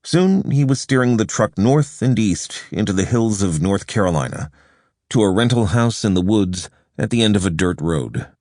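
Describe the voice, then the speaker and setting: A man narrating a nonfiction audiobook in a measured, even storytelling delivery, recorded close in a studio. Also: lowish voice, dry recording. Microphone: close studio microphone.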